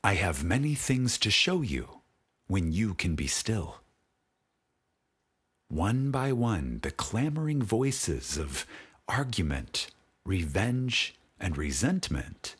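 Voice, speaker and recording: A man with a fairly low, husky voice reads a spiritual audiobook. His delivery is solemn and dramatic, with wide rises and falls in pitch. He takes his time, setting phrases apart with long pauses. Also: very animated, fairly bright tone. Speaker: audiobook narrator.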